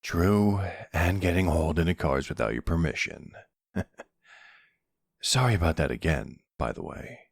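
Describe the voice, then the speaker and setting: Man playing a gravelly, very low-voiced mafia boss. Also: expressive, dry recording, clean studio sound, heavily acted. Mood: teasing, playful.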